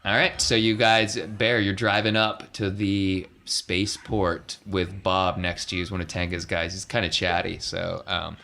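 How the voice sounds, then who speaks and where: A man's voice: low voice, little pitch movement, measured pace, gravelly, few pauses, sharp tone, a little hiss or hum. A man acting as game master, narrating a tabletop role-playing game in an informal, conversational way. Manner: informal, conversational scene-setting.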